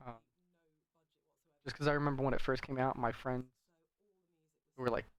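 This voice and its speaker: A man's voice: mid-range voice, flat delivery, moderate pace, clear, resonant tone, no room sound. Casual, rambling man with dry close sound. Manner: rambling, conversational, hedging. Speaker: gameplay commentator. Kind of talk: casual game commentary.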